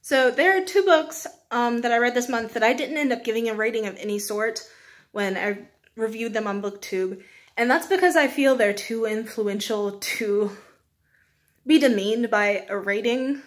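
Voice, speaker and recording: A woman's voice: a little high, normal speed. A young woman chatting casually as she reviews books, rambling in an informal, self-deprecating way with small laughs. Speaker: book reviewer, video host.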